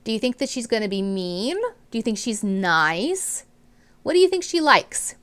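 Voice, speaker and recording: Casting: female teacher for children's read-aloud, lively pitch movement, dramatic emphasis, speaks directly to young listeners. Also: moderate pace, clean tone. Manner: animated, dramatic emphasis on key words.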